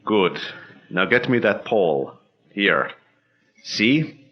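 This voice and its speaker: An actor playing a cold, scheming bank-robber boss who gives clipped orders in a 1940s-style radio drama. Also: male voice, on the low side, animated, takes it slowly, muffled recording. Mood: menacing. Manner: curt, commanding, clipped orders.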